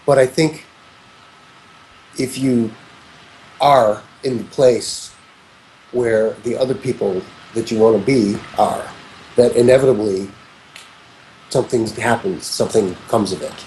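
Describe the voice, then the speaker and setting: Fifty-something man, mellow rambling talk. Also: mid-range voice, a little slow, long pauses, slight background noise, low-quality recording. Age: 50s. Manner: rambling, frequent restarts and "you know"s, reflective. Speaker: interview guest, music producer. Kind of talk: casual conversational interview.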